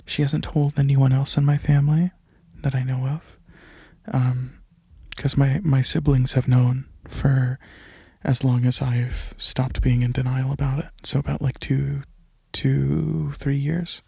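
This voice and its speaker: A young man giving a soft-spoken, casual ASMR ramble, halting and hesitant, often slipping into breathy whispers. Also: medium pitch, level tone, no room sound, dull audio. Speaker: ASMR video creator and channel host.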